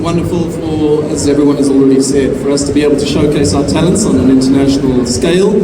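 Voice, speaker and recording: A South African actor on a convention panel, speaking gratefully to the fans. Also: male voice, fairly high pitch. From Johannesburg, South Africa. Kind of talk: live panel talk. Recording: echoey hall, far mic, crowd noise.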